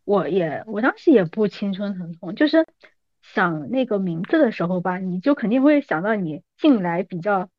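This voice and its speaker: A woman's voice: medium pitch, big pitch swings, moderate pace, dry recording, dull audio. A woman in her thirties talking casually as a podcast guest, with a teasing, playfully coaxing manner. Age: 30s. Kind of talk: casual chat between old friends.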